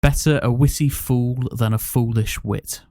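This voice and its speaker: A man's voice: medium pitch, fairly even intonation, unhurried, dark tone, no room sound, studio quality. A man hosting a casual podcast quiz, reading out questions and answers. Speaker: podcast host and quizmaster.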